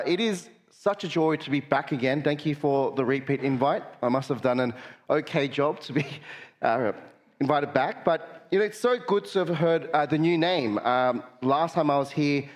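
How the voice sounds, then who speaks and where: A young man, a guest preacher with a cold that makes him slightly nasal, giving a friendly sermon full of filler uhs. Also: fairly high pitch.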